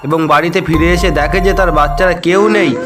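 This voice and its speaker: A man's voice: a little high, fairly even intonation, a little fast. Male cartoon narrator with music. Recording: loud music bed.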